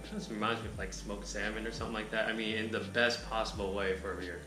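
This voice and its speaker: A man reviewing a beer in a relaxed, rambling way with a raspy voice. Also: on the low side, fast, echoey room, music in the background. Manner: laid-back, rambling, lots of filler words. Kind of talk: casual chat.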